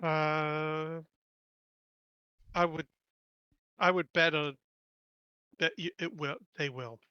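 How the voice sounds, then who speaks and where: Guy playing tabletop, bright punchy voice on the high side, casual banter, dry sound. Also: steady tone, slow, clean tone, no room sound, highs cut off. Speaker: tabletop game player.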